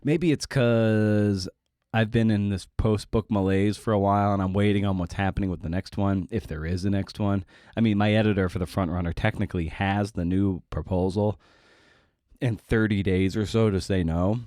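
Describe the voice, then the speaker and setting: A man's voice: lowish voice, fairly even intonation, normal speed, dark tone, dry recording, studio quality. A middle-aged man hosting a podcast, talking casually and confessionally in a self-deprecating, rambling, sweary way. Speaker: podcast host.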